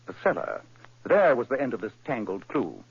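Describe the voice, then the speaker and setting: A crisp, commanding detective in a vintage radio play. His husky voice rises and falls in wide lively swings. The broadcast sounds muffled and dull. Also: mid-range voice, exaggerated voice. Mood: explaining. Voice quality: crisp, authoritative, brisk. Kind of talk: 1940s radio acting.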